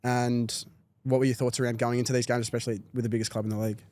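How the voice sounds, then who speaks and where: A man's voice: medium pitch, flat delivery, racing, darkish tone. An Australian man in his twenties asking questions in a casual podcast interview. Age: 20s. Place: small indoor podcast studio. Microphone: close broadcast mic on boom arm.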